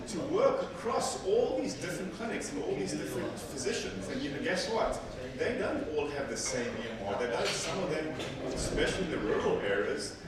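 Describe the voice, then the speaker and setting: A man's voice: on the high side, sing-song, brisk, few pauses, over a noisy crowd. Man talking in an echoey conference hall. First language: Afrikaans. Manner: conversational, self-correcting with repeated words. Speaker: conference presenter. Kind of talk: technical conference talk. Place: large hall with seated audience. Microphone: handheld mic, filmed from far back. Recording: echoey hall from far off with audience.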